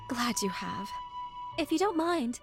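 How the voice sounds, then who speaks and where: Super high gushy doctor girl, excitable n warm, video game scene w music behind. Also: measured pace. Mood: gushing, friendly. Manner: gushing, effusive, apologetic. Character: bubbly, excitable young female doctor, warm and eager. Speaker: physician. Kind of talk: video game cutscene acting.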